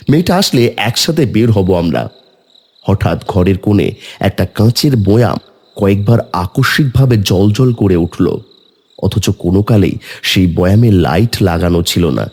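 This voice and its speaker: A man's voice: lowish voice, a little mellow, breathy edge. A man narrating a scripted horror story in the first person, in a dramatic, suspenseful manner.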